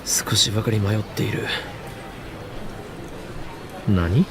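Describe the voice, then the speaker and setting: An actor playing a grandiose, paranoid young mad scientist in an anime-style game, with a theatrical, pompous delivery. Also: male voice, lowish voice, measured pace, noisy background, natural voice. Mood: conspiratorial, brooding. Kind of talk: Japanese anime game voice acting.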